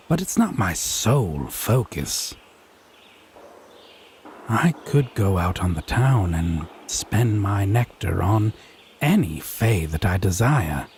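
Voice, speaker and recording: Guy doing a haughty teasing fae man, really slow n drawn out, voice swoops around, wry and theatrical. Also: on the low side, a little mellow, slight background noise, full character voice. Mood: softer, earnest. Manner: theatrical, wry, drawn-out deliberate phrasing with formal wording. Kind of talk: scripted audio roleplay addressed to the listener.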